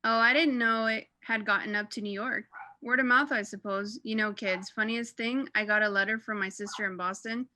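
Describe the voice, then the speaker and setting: Radio play phone friend: female actor, breezy chatty mother, forward bright tone, fairly high pitch. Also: fairly even intonation, moderate pace, no room sound. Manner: bubbly, gossipy. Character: chatty, breezy suburban mother on a telephone call.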